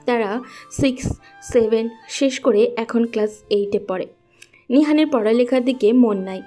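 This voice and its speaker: A woman's voice: on the high side, dark tone. A woman narrating a scripted love story as its storyteller.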